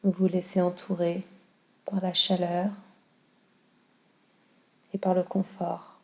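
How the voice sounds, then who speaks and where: A woman guiding a yoga nidra meditation in a calm, soothing, unhurried way with long pauses. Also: fairly low pitch. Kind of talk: slow guided meditation.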